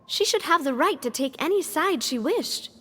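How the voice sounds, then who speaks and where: A woman's voice: sits high, expressive, moderate pace, a bit bright. A woman playing a young guild boss in a game, thoughtful and firm when she speaks. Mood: earnest.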